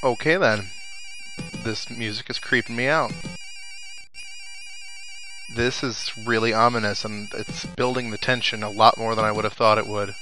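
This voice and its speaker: A man's voice: lowish voice, fairly even intonation, moderate pace, long pauses, poor audio. A man giving casual video game commentary, musing to himself and reading bits of the story aloud. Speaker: let's play commentator. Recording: game music behind the voice. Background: video game music and sound effects.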